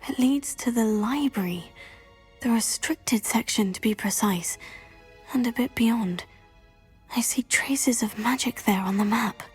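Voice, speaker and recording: A woman's voice: a little high, a little slow, breathy edge, faint music behind. A woman playing a polite, eager teenage student of magic in a video game, earnest and respectful. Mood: curious.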